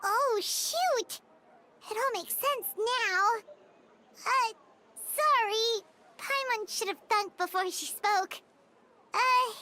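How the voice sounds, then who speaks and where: A woman's voice: very high voice, slow, pauses often, exaggerated voice. A woman voicing a tiny, chatty, childlike female companion sprite in a video game, who talks about herself in the third person. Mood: apologetic. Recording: loud game noise behind.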